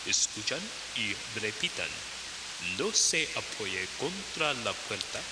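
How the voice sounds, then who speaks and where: A man's voice: fairly low pitch, sing-song, measured pace. A man playing a formal language-lesson instructor on a hissy cassette, speaking in listen-and-repeat style. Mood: instructive. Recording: hissy, echoing old cassette tape.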